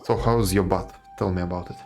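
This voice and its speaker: Very low, creaky woman streamer. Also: steady tone, fairly quick. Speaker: game streamer. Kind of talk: casual live commentary. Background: game music.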